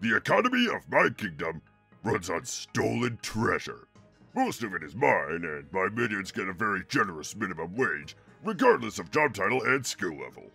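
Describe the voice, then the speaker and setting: A man's voice: lowish voice, big pitch swings, normal speed, sharp tone, faint music behind, exaggerated voice. A man voicing a grumpy, boastful monster turtle king in a growling, sneering cartoon voice. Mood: smug, boastful. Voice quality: gravelly, growling. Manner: sneering, mocking, blustering. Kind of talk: cartoon character fan dub.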